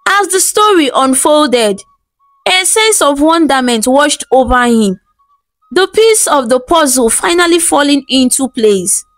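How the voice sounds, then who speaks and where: A woman telling a scripted folktale as narrator, in a measured, dramatic storybook delivery. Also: high-pitched, dry recording, rough recording. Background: background music.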